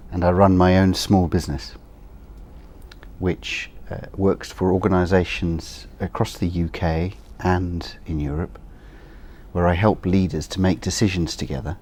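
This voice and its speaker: Low-voiced man, measured. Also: a little mellow, slight background noise. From England. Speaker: interviewee, business owner. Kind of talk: course audio interview answers.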